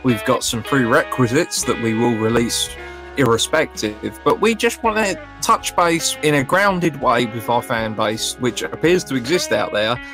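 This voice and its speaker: A man gives an informal promotional announcement. He is wry and wordy, with a middling pitch and clear music behind him. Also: lively intonation, measured pace, rough recording. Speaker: podcast writer and voice actor.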